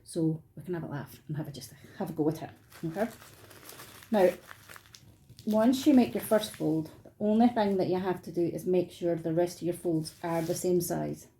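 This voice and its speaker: A woman's voice: lowish voice, moderate pace, mellow, slight background noise. A middle-aged woman with a Scottish accent, chatting informally and encouragingly as she teaches an online craft workshop from her dining room. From Scotland. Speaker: art workshop tutor.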